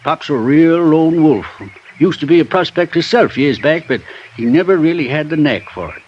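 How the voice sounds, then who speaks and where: An actor playing a folksy older rural American prospector with a rambling drawl, in 1950s radio drama style. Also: male voice, a little high, lively intonation, moderate pace, natural voice. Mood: folksy, chatty. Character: folksy older male rock-moving prospector, plain-spoken. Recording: muffled, noisy old radio recording.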